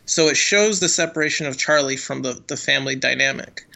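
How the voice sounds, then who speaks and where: A man's voice: mid-range voice, brisk, gravelly, pushed and bright. A man co-hosting a casual analytical chat, thinking aloud and often seeking agreement. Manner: thinking aloud, checking with "right?". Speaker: podcast co-host.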